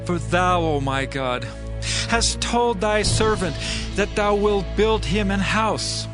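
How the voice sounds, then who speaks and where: A man performs a devout king at prayer in a dramatized audio scripture. His voice sits fairly high for a man and is humble and reverent, with solemn, measured phrasing. Music plays clearly underneath. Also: animated. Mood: reverent, pleading.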